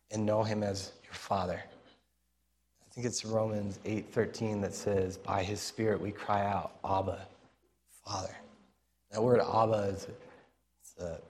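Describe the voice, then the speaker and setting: A man preaching an informal sermon as a pastor, in a raspy, strained voice that he pushes for volume, speaking conversationally and earnestly with pauses mid-phrase. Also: lowish voice, darkish tone.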